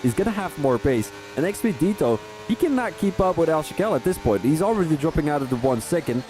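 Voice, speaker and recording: A man from Belgium, doing live race commentary for a sim racing league, rambling in chatty asides and rising to excited calls on overtakes. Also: on the high side, fast talker, music in the background.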